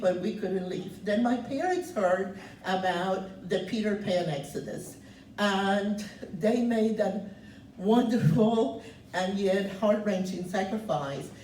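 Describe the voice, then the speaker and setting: A woman in her seventies from Cuba, speaking loudly and earnestly as she tells her life story, pausing on many fillers. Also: on the low side, a little hiss or hum. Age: 70s. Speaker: guest speaker sharing her refugee story. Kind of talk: personal testimony talk. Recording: roomy, distant mic, faint ambience.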